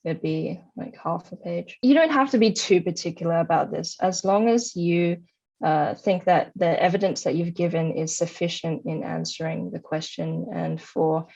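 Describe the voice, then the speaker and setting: Young female interviewee, lowish voice, explaining study tips conversationally; slightly dull recording. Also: normal speed, gravelly, a little mellow. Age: young adult. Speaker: interviewee, high-achieving former student.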